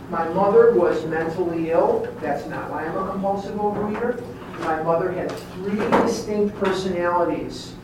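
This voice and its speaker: A man with a Chicago American accent giving a personal testimony speech, emphatic and deliberate, repeating phrases for effect. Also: high-pitched. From Chicago, USA. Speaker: guest speaker at recovery meeting. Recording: very echoey room, distant mic, clear background noise.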